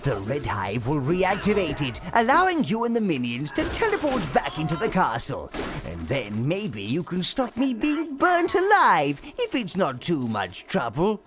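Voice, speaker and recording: A gleefully wicked old creature serving as advisor in a fantasy game. The voice is gravelly and obsequious, with sardonic asides and extremely animated pitch swoops. Loud game music plays behind it. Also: male voice, unhurried. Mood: dry, instructing. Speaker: guide and advisor to a young evil lord. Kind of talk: theatrical fantasy video game acting.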